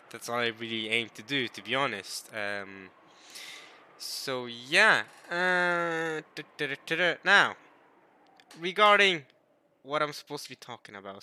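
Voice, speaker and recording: Young man, very bright tone, rambling casual talk, babbles to viewers. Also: mid-range voice. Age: young adult. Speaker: video creator reading a visual novel.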